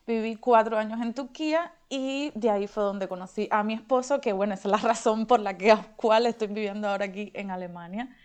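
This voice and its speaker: A woman's voice: mid-range voice, fairly quick, few pauses, smooth. A woman from Cuba with a Cuban accent, chatting casually as an interview guest and telling personal stories.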